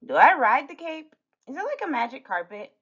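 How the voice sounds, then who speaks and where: A woman's voice: high-pitched, sing-song, fairly quick, fairly bright tone, husky, voice pushed up, exaggerated voice. A woman voicing a cheeky, questioning little girl in a storybook, on a dull recording. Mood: curious. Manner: questioning, sassy. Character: cheeky, outspoken little fairy-tale girl.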